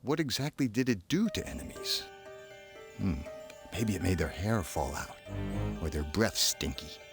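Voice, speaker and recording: A man's voice: sits low, big pitch swings, measured pace, over music, full character voice. A man reading as a young boy storybook narrator who is earnest, suspicious and puzzled, musing with dramatic hesitations. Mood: curious, doubtful.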